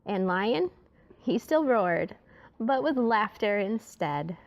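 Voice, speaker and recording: A woman reading a rhyming children's story aloud in an expressive, dramatic way, sometimes dropping to a hush. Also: medium pitch, takes it slowly.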